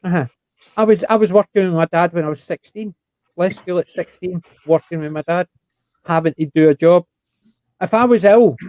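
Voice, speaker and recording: A man's voice: a little high, darkish tone, dry recording, muffled recording. A man, chatting and telling stories with quoted asides in a casual panel conversation.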